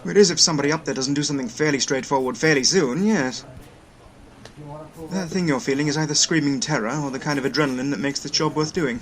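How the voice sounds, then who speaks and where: An actor playing a brisk, wordy station logistics manager in a sci-fi audio drama, explaining things with dry wit. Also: male voice, a little high, close to an everyday voice. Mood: dry, matter-of-fact. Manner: chatty, wry, long-winded explanations.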